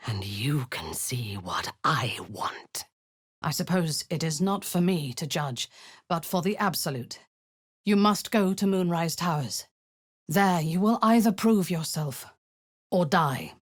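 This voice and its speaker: A woman's voice: sits low, animated, slow pace, breathy edge, dry recording, clean studio sound, heavily acted. A woman voicing a cruel, zealous drow warlord in a video game, giving cold commands that often sink into a menacing whisper.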